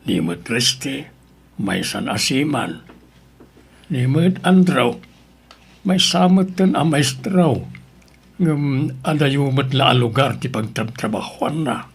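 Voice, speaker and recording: An actor plays a weary old farmer in a radio drama. His voice is creaky and hoarse-edged, and he comes across as stubborn yet devoted to his children. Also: medium pitch, takes it slowly, pauses often, full character voice. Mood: wistful. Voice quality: gravelly, raspy.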